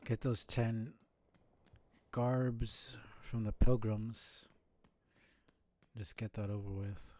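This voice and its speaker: A man with a fairly low, very mellow and relaxed voice gives live gameplay commentary. He talks casually, as if thinking aloud to himself while he plays. His language is loose and sweary. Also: drawn-out words, long pauses. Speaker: streamer playing a video game.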